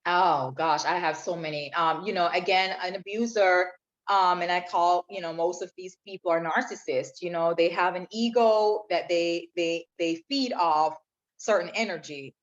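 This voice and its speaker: A woman's voice: fairly low pitch, moderate pace. A woman from Jamaica, a podcast guest telling her own story in an earnest, reflective way. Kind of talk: personal testimony in interview. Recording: dull lo-fi sound.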